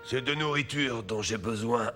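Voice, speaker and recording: A man playing a stubborn, gruff colonial leader in a French cartoon dub, commanding and grumbling. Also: medium pitch, normal speed. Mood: weary. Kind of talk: French animated film dub. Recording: loud music under the voice.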